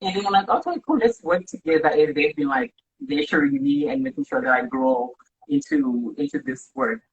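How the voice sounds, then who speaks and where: A woman's voice: low voice, sing-song, moderate pace, gravelly, slight background noise. A woman from Zimbabwe, earnestly telling her story as a guest on a live video chat, often tagging "right?". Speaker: community organizer, guest. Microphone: phone or laptop mic on a live stream. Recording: dull lo-fi livestream audio.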